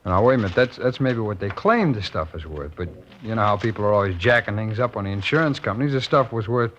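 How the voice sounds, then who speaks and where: Male actor as cocky American stickup man, slangy tough talk, lowish pitch, old radio drama, muffled lo-fi recording. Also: fast talker, fairly bright tone, a little hiss or hum, lightly acted. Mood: uneasy. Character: cocky small-time male robber, defiant then bitterly betrayed. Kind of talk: old-time radio crime drama acting. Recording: dull lo-fi vintage radio recording.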